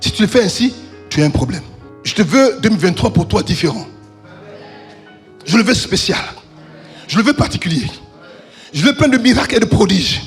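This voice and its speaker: High-voiced preacher shouting and chanting. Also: male voice, lively intonation, fairly quick, long pauses, soft background music. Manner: preaching with sung, shouted crescendos then dropping low; asks the congregation questions and answers them himself. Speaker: pastor. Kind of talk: fiery sermon. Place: church service.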